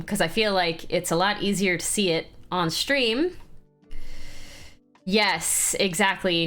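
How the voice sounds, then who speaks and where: A woman's voice: medium pitch, fairly bright tone. A woman streamer with a congested voice, casually chatting and greeting her viewers by name. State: congested, clearing throat. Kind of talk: casual livestream chat.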